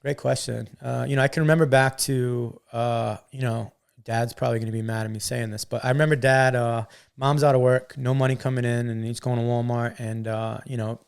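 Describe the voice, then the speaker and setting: A man's voice: mid-range voice, steady tone, a little fast, vocal fry, clear, resonant tone. A man talking as a podcast guest, earnest and upbeat, repeating words and using many fillers. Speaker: guest, entrepreneur. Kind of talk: casual interview chat.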